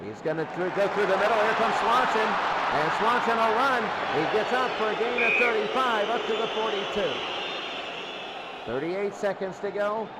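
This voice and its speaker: A man's voice: high voice, normal speed, over a noisy crowd, very noisy. A man announcing football play-by-play as live sports commentary, in short clipped phrases.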